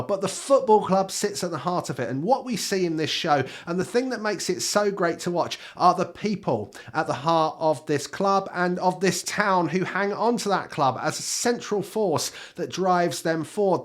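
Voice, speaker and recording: A British man in his thirties, slightly hoarse from a cold, chatting directly to viewers in a casual TV review. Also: high-pitched. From Britain.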